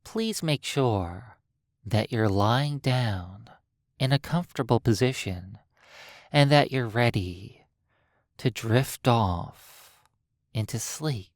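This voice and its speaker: A hypnotist guides a sleep session at an extremely slow pace. The delivery is soothing and broken by long pauses. The recording is dry, with very little room sound. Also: medium pitch, animated, no echo. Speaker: hypnotist narrator.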